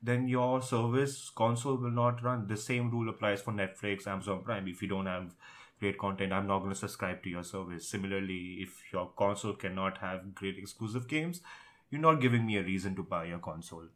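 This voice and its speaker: A man's voice: on the low side, fairly even intonation, brisk, fairly bright tone. A man co-hosting a tech podcast, casually giving emphatic opinions and repeating points for stress.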